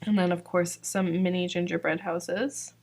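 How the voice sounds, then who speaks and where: A woman's voice: lowish voice, steady tone, measured pace, darkish tone. A young woman from Georgia, chatty and full of "like" and "um," walking through her shop's new sticker releases, her voice slightly worn. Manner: chatty, fillers like "like" and "um". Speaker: sticker shop owner presenting new releases. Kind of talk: casual product walkthrough.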